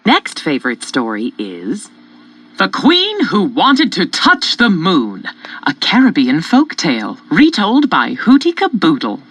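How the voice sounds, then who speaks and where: Highly animated children's story narrator. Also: a little slow, slight background noise. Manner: expressive, theatrical. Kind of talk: scripted storybook narration, rhyming in places. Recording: music behind, from cassette tape.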